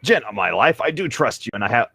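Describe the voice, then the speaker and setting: A man voicing a gentle, worried hero husband from a fantasy story in an earnest, soppy way. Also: mid-range voice, big pitch swings, quick pace, gravelly, slightly rough, no echo, full character voice. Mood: tender concern.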